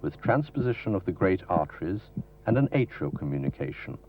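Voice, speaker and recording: A man giving a formal, measured, didactic scripted narration for a medical training film. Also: deep voice, sing-song, slight background noise. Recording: dull lo-fi 1970s film soundtrack from videotape.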